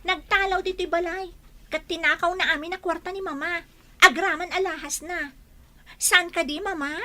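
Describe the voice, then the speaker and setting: A woman's voice: very high-pitched, steady tone, moderate pace, pushed and bright, exaggerated voice. A woman playing a sly, chatty young woman in an audio drama, coy and gossipy in manner. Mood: accusing. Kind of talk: melodramatic audio drama acting.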